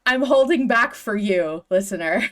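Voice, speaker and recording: A woman's voice: medium pitch, expressive, unhurried, a crowd in the background. A woman co-hosting a casual fan discussion podcast, talking emphatically with gushing enthusiasm and some swearing. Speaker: podcast host.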